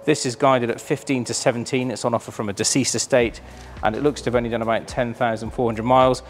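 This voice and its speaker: A man's voice: medium pitch, steady tone, fast, soft background music. A man, chatty and enthusiastic, presenting a car auction preview in a large warehouse showroom. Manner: enthusiastic, chatty, filled with ums.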